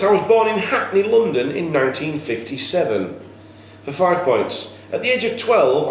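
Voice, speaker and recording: A man working as quizmaster, reading questions to contestants in a measured way with informal asides. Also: a little high. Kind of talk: reading pub-style quiz questions to contestants. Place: echoey room at a cricket club. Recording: very echoey room, faint noise.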